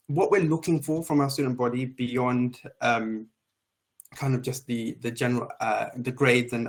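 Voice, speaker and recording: A man answering questions on a university admissions panel. His voice sits at a middle pitch, and he talks in a steady, measured way, often pausing on ums. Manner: measured, with many filler ums. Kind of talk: panel discussion.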